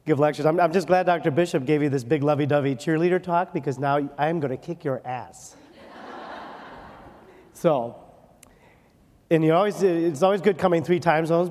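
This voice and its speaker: Male medical lecturer from Wisconsin, slightly high voice, joking and brisk, checks in with "okay?", long hall reverb. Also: darkish tone. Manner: joking, rapid, ends points with "okay?". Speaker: dermatologist lecturer. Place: conference hall.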